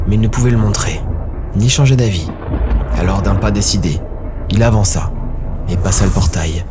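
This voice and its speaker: A man giving a dramatic scripted narration of a story. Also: sits low. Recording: background music under narration.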